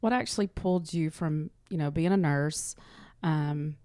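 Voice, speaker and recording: A woman's voice: sits low, unhurried, warm tone, no room sound, studio quality. A woman speaking as an interview guest, chatting casually and telling small anecdotes. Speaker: interview guest, clinic front office worker.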